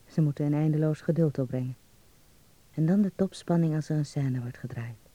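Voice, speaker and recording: A man's voice: fairly high pitch, a little fast, dark tone, no echo, highs cut off, lightly acted. An actor playing a dreamy young man in a radio drama, confiding intimately in a letter home to his mother. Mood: quietly excited.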